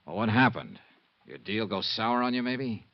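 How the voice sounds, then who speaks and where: Low-voiced sardonic radio detective. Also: male voice, very animated, normal speed. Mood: cool, wary. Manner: wry, sardonic. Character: sardonic freelance male insurance investigator, world-weary and unflappable. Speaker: lead actor and narrator of a radio detective serial. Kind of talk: 1950s radio drama acting. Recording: muffled, noisy old radio recording.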